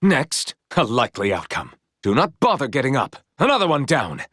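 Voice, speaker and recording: A man's voice: medium pitch, huge pitch swings, normal speed, fairly bright tone, no room sound, studio quality. A man playing a confident, proud naval commander in a video game, speaking in formal, heroic phrasing. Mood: cool, confident. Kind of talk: video game character battle lines.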